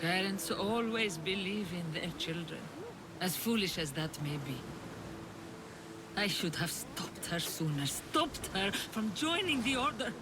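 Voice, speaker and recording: An actress playing a remorseful, tender mother in a video game cutscene, emotional and halting. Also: medium pitch, expressive, noisy background, natural voice. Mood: regretful.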